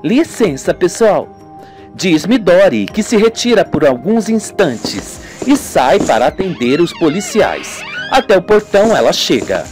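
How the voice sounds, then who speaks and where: A man's voice: high-pitched, big pitch swings, unhurried, hardly any pauses, darkish tone. A man with a Brazilian accent giving scripted narration for a radio soap, with music playing. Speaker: narrator and presenter.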